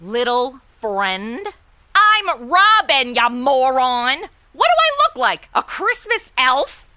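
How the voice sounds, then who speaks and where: A woman's voice: high-pitched, sing-song, slow pace, bright, forceful tone, no echo, exaggerated voice. A woman playing a sarcastic, exasperated young superhero sidekick in a comedic radio play, with snarky, slangy, exclamatory delivery. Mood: indignant. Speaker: regular lead of comedy podcast.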